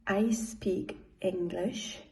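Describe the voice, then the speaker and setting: Polish-born woman speaking english, slow and hesitant, soft mellow tone. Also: medium pitch, steady tone. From Poland. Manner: slow, with hesitant pauses and fillers. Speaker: English teacher. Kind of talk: school interview, answering read-out questions.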